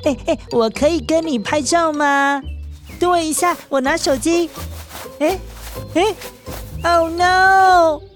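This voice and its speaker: A man voicing an excitable, curious young boy in a children's fairy tale, exclaiming with wonder. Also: extremely high pitch, takes it slowly, pauses often, soft background music, voice pushed up, heavily acted. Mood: excited.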